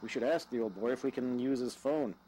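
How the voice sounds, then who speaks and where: A man's voice: mid-range voice, fairly quick, mellow, close to an everyday voice, poor audio. A man playing a casual, polite young husband, a stranded traveler in an audio drama. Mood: wry, casual. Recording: faint background noise.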